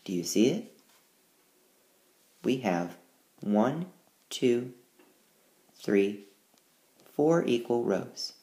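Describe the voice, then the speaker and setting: Guy teacher reading a rhyming math book, slow and sing-songy, voice bouncing up and down. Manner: slow, sing-song rhyming read-aloud with lively pitch. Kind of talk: reading a picture book aloud and explaining math. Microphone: tablet screencast voice-over.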